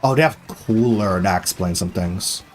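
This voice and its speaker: Excitable young streamer man, slangy and loose. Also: low-pitched, normal speed, faint music behind. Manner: excitable, casual, full of fillers and slang; swears freely. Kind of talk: live gaming stream chatter.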